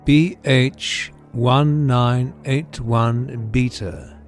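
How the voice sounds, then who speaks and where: A man playing a brooding, calculating supervillain in an audio drama, speaking deliberately in dramatic monologue. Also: mid-range voice. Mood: commanding. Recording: loud music bed under voice. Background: music.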